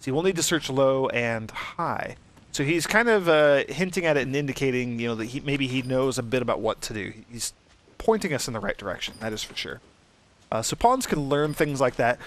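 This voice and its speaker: A man's voice: medium pitch, animated, a little fast, fairly bright tone. A man hosting a Let's Play, giving casual, easygoing commentary and explanations.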